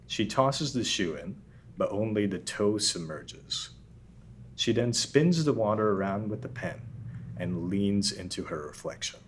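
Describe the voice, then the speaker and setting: Man, low voice, script narrator, stage directions, some background noise. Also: expressive, a little slow. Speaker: table read narrator.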